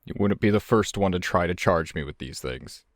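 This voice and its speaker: A man playing a tabletop game, casually describing his character's actions and bantering in a deadpan way. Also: low voice, moderate pace, dry recording, clean studio sound.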